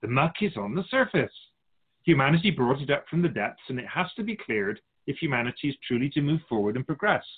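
A man playing an otherworldly, wise spiritual guide in a radio drama, measured and instructive with gentle amusement. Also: mid-range voice, very animated, breathy edge. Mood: serene, knowing. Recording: slightly muffled, phone-like narrow sound.

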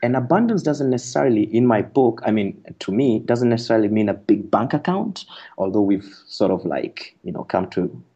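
Low-voiced man from Kenya, muffled line. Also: expressive, fairly quick, warm tone, husky. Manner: thoughtful, explanatory, frequent fillers and restarts, occasional laughs. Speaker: author guest. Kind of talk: radio interview conversation.

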